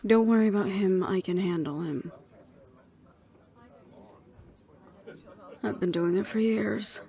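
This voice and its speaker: Audio roleplay lead: actress as a dutiful middle-aged woman in charge, medium pitch, composed and resolute. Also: vocal fry, lightly acted. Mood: tense, wry. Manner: measured, reflective, quietly firm.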